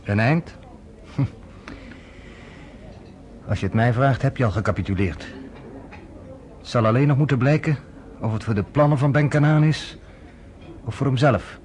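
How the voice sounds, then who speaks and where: A man's voice: medium pitch, normal speed, pauses often, darkish tone, slight background noise, lightly acted. A man playing a wry skeptical American friend. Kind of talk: radio drama acting.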